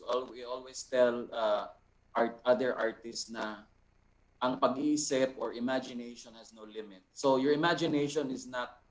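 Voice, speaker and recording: A man with a Filipino accent speaking as an art teacher, explaining ideas off the cuff in deliberate phrases with frequent tag questions. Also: medium pitch, fairly bright tone, poor audio. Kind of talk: unscripted teaching, answering participants' questions.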